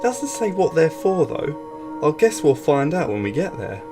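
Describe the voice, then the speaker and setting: A curious young girl astronaut, played by a man reading aloud from a children's story. She is keen, inquisitive and matter-of-fact, with music clearly behind the voice. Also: a little high, big pitch swings, unhurried, pitched above the performer's own voice. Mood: puzzled.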